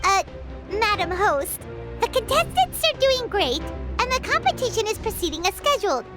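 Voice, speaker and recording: A chirpy little girl companion from a video game, with a piercing high voice that bounces all over. She chatters in a friendly, caring way. Loud game music fills the background. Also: big pitch swings, normal speed.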